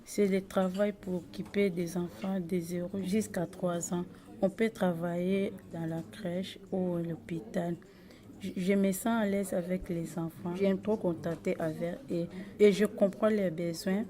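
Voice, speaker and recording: A young woman, a student, gives a personal account in halting, stumbling phrases. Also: fairly low pitch, fairly even intonation, dark tone. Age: young adult. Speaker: high school student. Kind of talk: personal account of career choice.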